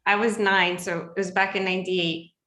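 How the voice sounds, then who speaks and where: Female podcast host, 30s, from Ukraine, bright voice, roomy echo. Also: mid-range voice, moderate pace. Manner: friendly, relaxed, wraps up the interview. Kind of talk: casual interview chat.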